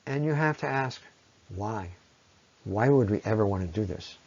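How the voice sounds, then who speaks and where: A man giving a persuasive, semi-scripted public presentation, speaking in an earnest, deliberate way with emphatic phrasing. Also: on the low side, expressive, darkish tone, highs cut off. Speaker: speaker presenting against a road project.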